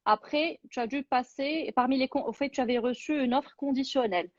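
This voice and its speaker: A woman's voice: high-pitched, fairly quick, no room sound. Woman interviewer, dull lo-fi sound. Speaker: interviewer, education agency adviser. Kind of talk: casual interview.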